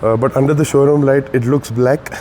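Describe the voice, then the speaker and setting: A man's voice: mid-range voice, fairly even intonation, brisk, warm tone, slight background noise. Man with a sore throat reviewing cars. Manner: chatty, many "uh" fillers, addresses viewers as guys. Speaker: car reviewer. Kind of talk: casual walkaround car review. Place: car showroom.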